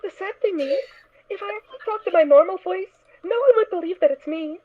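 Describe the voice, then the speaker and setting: A woman's voice: extremely high pitch, a little fast. A woman voice actor chatting casually in a girlish voice over an online call. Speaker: voice actor and audiobook narrator. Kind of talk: casual chat. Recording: tinny phone-like voice chat line.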